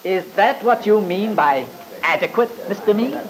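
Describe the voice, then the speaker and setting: A man's voice: high voice, expressive, moderate pace, heavy background noise. A man playing a pompous, badgering attorney in an old radio drama, formal and sarcastic. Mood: scornful. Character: scornful, badgering male attorney, pompous and theatrical.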